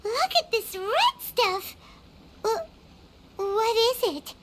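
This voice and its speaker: Very high, squeaky little fairy sidekick, video game; excitable, timid, swooping childlike delivery. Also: female voice, drawn-out words, slight background noise, heavily acted. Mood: startled, excitable. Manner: exclamatory, talks of herself by name. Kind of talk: video game character dialogue. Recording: game music behind the voice.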